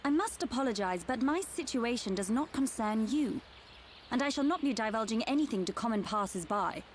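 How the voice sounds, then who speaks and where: An actress as a high, posh British noblewoman. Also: animated, brisk, slight background noise, lightly acted. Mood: formal, guarded. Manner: formal, stately, aloof. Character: formal, haughty young noblewoman, dignified and reserved. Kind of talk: video game cutscene acting.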